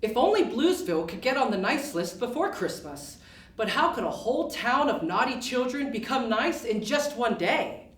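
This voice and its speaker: A woman reading a children's storybook aloud in an expressive style. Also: a little high, moderate pace. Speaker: storybook reader. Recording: roomy echo, distant mic.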